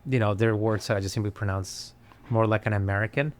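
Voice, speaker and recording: A Spanish-speaking man from Colombia with a Colombian accent, chatting as a podcast guest in an animated way, laughing and restarting often. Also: on the low side, fast. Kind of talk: casual conversation.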